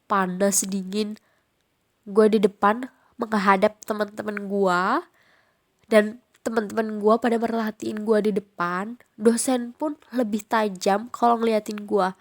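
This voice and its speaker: A woman's voice: mid-range voice, little pitch movement, unhurried. A young woman with a Jakarta slang accent, nasal from a cold, chatting casually on her own podcast with frequent fillers. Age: young adult. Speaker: podcast host.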